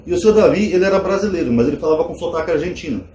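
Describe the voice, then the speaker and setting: A man's voice: on the high side, racing, roomy sound. A man, chatting casually to his viewers and explaining his points with rhetorical questions. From Brazil. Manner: conversational, animated asides with rhetorical questions. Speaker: channel host.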